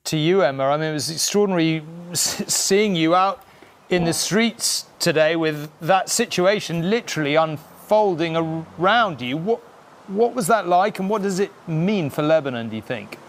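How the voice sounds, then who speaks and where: A man's voice: a little high, expressive, normal speed, a little hiss or hum. A middle-aged British man anchoring live TV news, putting questions to correspondents. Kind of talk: live broadcast two-way questioning. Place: TV news studio.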